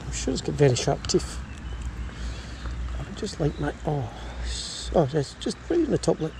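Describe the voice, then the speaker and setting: A man's voice: a little high, very animated, moderate pace, gravelly. A man giving casual, murmured running commentary as he fishes, breaking into exclamations, recorded on a body-worn camera outdoors. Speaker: angler and vlogger. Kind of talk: casual first-person fishing commentary. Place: outdoors, wading in a small river. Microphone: body-worn action camera. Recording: outdoor action camera, constant water noise. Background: running river water.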